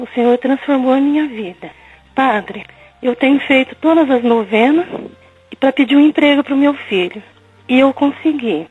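A middle-aged woman from Paraná, Brazil, with a Brazilian accent and a very husky voice, giving a personal religious testimony. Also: high-pitched. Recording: tinny phone-like line, faint music behind.

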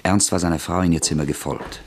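A man narrating a radio drama in a measured, even manner. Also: low voice, very noisy. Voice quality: husky, gravelly.

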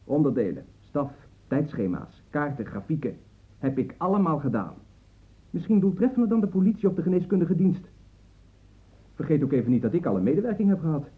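A man's voice: on the high side, very animated, brisk. An actor playing a proud, defiant young man under questioning in a radio play, cool, curt and self-assured. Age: young adult. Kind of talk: radio play acting in Dutch. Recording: muffled, phone-like old radio recording.

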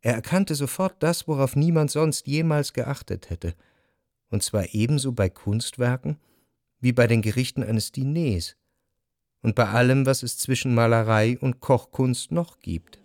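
An actor playing a refined first-person narrator in a radio drama, speaking in a measured, precise, reflective literary manner. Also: male voice, medium pitch, darkish tone, dry recording, clean studio sound, lightly acted. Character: reflective, refined male narrator recalling Parisian salon society. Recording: faint music behind the voice.

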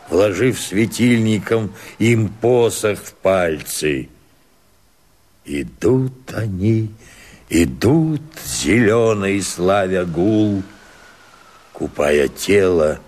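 An older man, an actor playing a weary, solemn old watchman who slowly declaims verse in a radio play, brooding and husky-voiced. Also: low voice, sing-song, darkish tone, exaggerated voice. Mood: brooding wonder. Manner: slow, declamatory, pensive.

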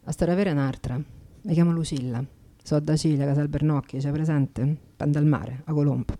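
An actress playing a weary, frank Roman streetwalker, confiding in a subdued, plainspoken dramatic monologue with a Roman accent. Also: female voice, low voice, steady tone, brisk, dark tone, natural voice. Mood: wry, confiding. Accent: Roman Italian.

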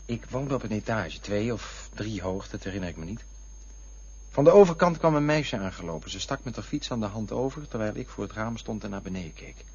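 An actor playing a defensive, wistful man in a Dutch radio play, touchy and drifting into lyrical memories, with a husky voice. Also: on the low side, animated, brisk. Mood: wistful reminiscing. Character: defensive, wistful man looking back on lost loves. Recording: dull, lo-fi radio play recording.

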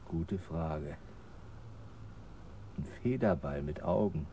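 A man's voice: extremely low pitch, slow pace, mellow, slight background noise, heavily acted. An actor playing a puzzled, wry patrol policeman in a German radio crime drama.

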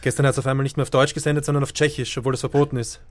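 An actor in a German radio drama playing an anxious, deferential film editing assistant who talks in a casual, colloquial way. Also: male voice, mid-range voice, flat delivery, very fast, close to an everyday voice. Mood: worried.

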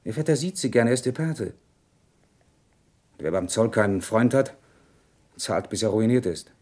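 Stern shopkeeper husband in an old-style household drama, low husky voice, gruff, measured. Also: male voice, expressive, darkish tone. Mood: stern, indignant. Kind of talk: German radio play acting.

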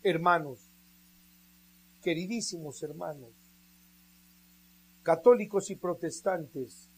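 An unhurried radio preacher. The delivery is emphatic and drawn out, and the voice sits in a middle pitch. The sermon leans on rhetorical questions and direct appeals to the listeners. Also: poor audio.

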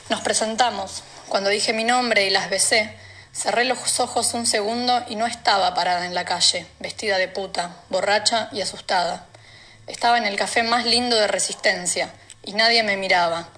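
A woman's voice: mid-range voice, fairly bright tone. A woman with an Argentine accent reading a first-person novel extract as a narrator. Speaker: reader.

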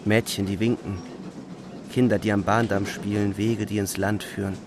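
An actor playing a weary, haunted young soldier, narrating a radio play in brooding, restrained short sentences. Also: male voice, fairly low pitch, fairly even intonation, normal speed, background noise, close to an everyday voice. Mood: brooding, tense. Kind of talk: literary radio play narration, inner monologue.

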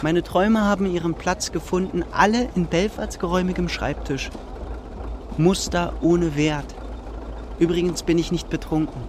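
An actor playing a cynical, disillusioned engineer in a stage-like radio drama, speaking in ironic, declamatory aphorisms. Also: male voice, high-pitched, steady tone, normal speed, background noise, natural voice. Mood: bitter irony. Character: cynical disillusioned male engineer, brooding intellectual dreamer.

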